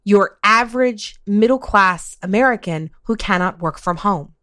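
A woman's voice: mid-range voice, moderate pace, a bit bright. A woman in her late forties talking casually but emphatically as a co-host in a podcast discussion. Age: late 40s. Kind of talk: casual conversation on politics.

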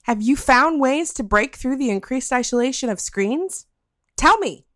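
A woman's voice: sits high, expressive, normal speed, a bit bright, no echo. Woman reading a podcast script, dry sound. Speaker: podcast host.

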